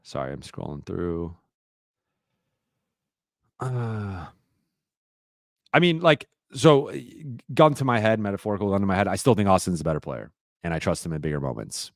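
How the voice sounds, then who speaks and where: A man hosting a live-stream Q&A, talking casually and rambling with lots of fillers and restarts, his voice a little raspy. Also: low-pitched, sing-song, normal speed, pauses often, no echo, clean studio sound. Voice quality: slightly raspy voice.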